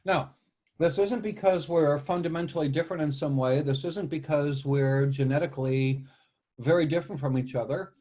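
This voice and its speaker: A man with a slightly raised, husky voice talks through ideas like a lecturer, wandering into asides as he goes. He is from the United States and speaks as a behavior analyst in a relaxed group chat. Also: fairly high pitch, moderate pace. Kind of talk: casual panel discussion.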